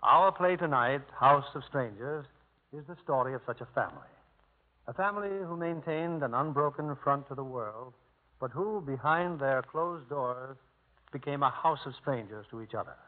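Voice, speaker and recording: A man hosting a 1950s radio drama, giving scripted narration in a formal, measured, theatrical manner. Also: mid-range voice, lively intonation. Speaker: producer and host. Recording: old tinny radio broadcast.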